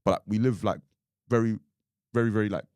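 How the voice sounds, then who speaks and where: A young man from Ireland with a very low, deep voice, chatting loosely on a podcast. He rambles, leaning on fillers like "like" and "you know what I mean". The sound is close and dry, with no room around it. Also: fairly even intonation, fast, a little mellow, dry recording. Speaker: podcast co-host. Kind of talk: casual podcast chat.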